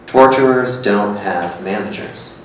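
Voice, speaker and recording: A man in his thirties reading the part of a dry, blunt, sceptical companion in a staged script reading, deadpan and terse. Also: on the low side, slow pace. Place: small hard-walled gallery room, indoors. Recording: very echoey room, distant, faint noise.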